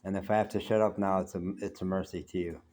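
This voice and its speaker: A man's voice: sits low, little pitch movement, fast talker, a little mellow, a little hiss or hum. An older man from the United States, talking in a reflective, rambling way to camera from his apartment, saying he has a big voice. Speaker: vlogger. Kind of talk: reflective solo talk.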